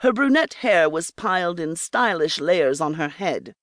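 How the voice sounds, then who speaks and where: A woman's voice: on the low side, animated, a little slow, no echo, clean studio sound. A woman narrating a scripted fiction audiobook.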